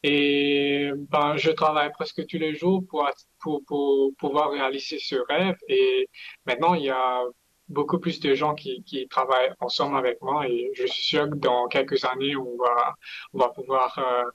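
A man answering as a guest in an unscripted panel interview, halting and often repeating words. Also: medium pitch, flat delivery, a bit bright, muffled recording. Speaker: interview guest, entrepreneur in China.

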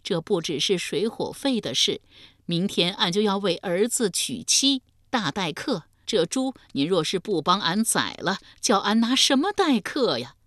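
A woman's voice: very animated, unhurried, a bit bright, dry recording, studio quality. A woman doing a wailing old village woman. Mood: pleading. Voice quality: high wailing crying voice.

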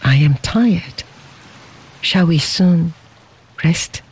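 A reader voicing a gentle, devoted young wife in a storybook, speaking softly, tenderly and earnestly. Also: medium pitch, expressive, drawn-out words, slightly rough, exaggerated voice.

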